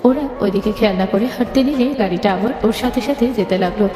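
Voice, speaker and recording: A woman's voice: mid-range voice, fast talker. A woman narrating a scripted story in the third person. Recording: echoey long reverb, music bed.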